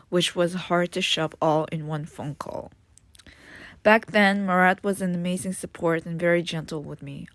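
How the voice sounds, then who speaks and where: A woman with a low, grounded voice narrates her own life story in English. She reads in an earnest, reflective way and now and then stumbles and restarts a word. The recording is close and dry, with no room sound. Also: no room sound. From Israel. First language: Hebrew. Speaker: memoir author reading her own book. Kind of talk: first-person memoir narration.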